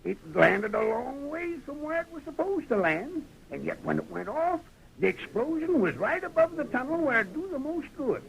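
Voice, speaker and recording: A man acting a cantankerous but loyal old prospector in a 1930s radio western, with a folksy, excitable American frontier drawl. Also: very high voice, moderate pace, creaky, exaggerated voice, low-quality recording. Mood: puzzled, marveling. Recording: vintage 1930s radio broadcast.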